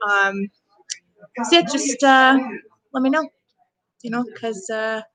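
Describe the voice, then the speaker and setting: A woman's voice: a little high, slow pace, a crowd in the background, rough recording. A woman hosting an online staged reading with casual, improvised chat in a playful, rambling way.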